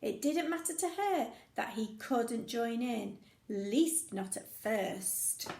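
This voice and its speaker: A woman teacher reading a picture story aloud to young children, playful and expressive, with sound effects and questions for the listener. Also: on the high side, takes it slowly, creaky, echoey room.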